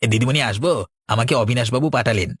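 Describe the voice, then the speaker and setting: A man's voice: medium pitch, quick pace, breathy edge, dry recording, clean studio sound, lightly acted. A man playing a sly village informer in a cartoon, ingratiating and a little hesitant as he angles for reward. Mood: fawning, sly. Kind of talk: Bengali cartoon dialogue.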